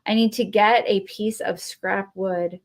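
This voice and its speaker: A woman's voice: medium pitch, steady tone, unhurried. A woman with a Texan accent hosting a live crafting stream, chatting in a rambling, friendly way with viewers as she works. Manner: chatty and rambling, talks to viewers while working; friendly, answers viewer comments. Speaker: craft livestream host. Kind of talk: casual live crafting chat.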